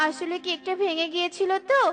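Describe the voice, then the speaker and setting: A woman voicing a kind, hardworking but easily exasperated female cartoon bird, expressive and plaintive. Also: extremely high pitch, fairly quick, music in the background. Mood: friendly. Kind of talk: children's cartoon voice acting.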